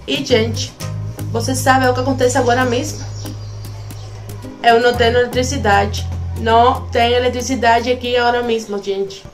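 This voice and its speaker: A woman's voice: fairly high pitch, little pitch movement, moderate pace, pauses often, faint music behind. A young Cuban woman vlogging casually to a phone camera, speaking careful learner's Portuguese with a Spanish accent. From Cuba. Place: small room at home, indoors.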